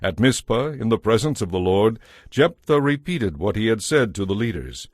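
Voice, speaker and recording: A man narrating a dramatized scripture audiobook from a script, in a measured, formal manner. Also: on the low side, lively intonation, no echo, studio quality.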